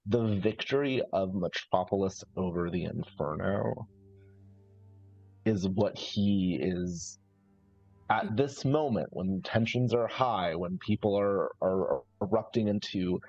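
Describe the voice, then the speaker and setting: A man improvising story narration as a player in a live tabletop roleplaying game, with halting, searching, thoughtful phrasing. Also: deep voice, darkish tone, highs cut off, faint music behind.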